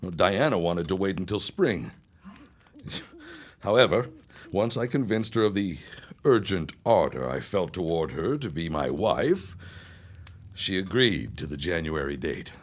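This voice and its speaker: Man playing a low-voiced, arch scheming son. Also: sing-song, unhurried, pauses often, full character voice. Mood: dry, controlled. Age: young adult. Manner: formal, arch, wry delivery. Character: smooth, scheming young man, dry toward his mother. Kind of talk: audio drama acting.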